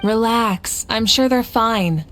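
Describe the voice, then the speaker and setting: Composed, confident leader. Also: female voice, fairly high pitch, a bit bright. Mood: amused, reassuring. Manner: composed, measured, reassuring. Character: calm, confident, reassuring woman leader. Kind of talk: video game voice acting. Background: faint game music.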